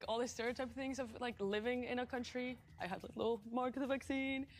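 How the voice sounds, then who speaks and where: A woman's voice: fairly high pitch, fairly even intonation, quick pace, slight background noise. Young Argentine woman, laughing contestant. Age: young adult. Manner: laughing, hesitant, filler-heavy. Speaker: game show contestant. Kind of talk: casual panel chat.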